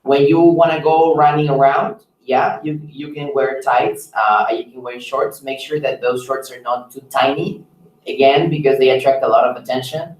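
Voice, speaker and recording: A man's voice: medium pitch, fairly even intonation, moderate pace. A man moderating an informal conference call, taking questions and answering them as a staff member. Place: echoey room on a conference call. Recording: echoey room, distant conference mic.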